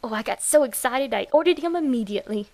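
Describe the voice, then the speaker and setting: A woman voicing a deranged, lovestruck young woman who tells her morbid horror story in a breathy, dramatic way. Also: high voice, lively intonation, darkish tone. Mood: giddy, obsessive. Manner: demented, breathy, dramatic, slow with whispered words. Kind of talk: first-person horror story narration.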